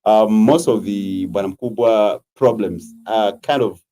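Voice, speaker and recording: A Zambian man with a Zambian accent, a political candidate answering interview questions in a measured, earnest way with frequent hesitations. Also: on the low side, warm tone.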